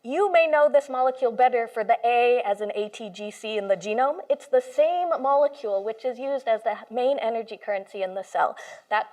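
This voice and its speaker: A woman's voice: a little high, brisk, a little mellow. A woman computational biologist giving an informal lecture to a live audience in a room, speaking with enthusiasm and explaining with everyday comparisons. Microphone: handheld or stand mic, moved closer at one point.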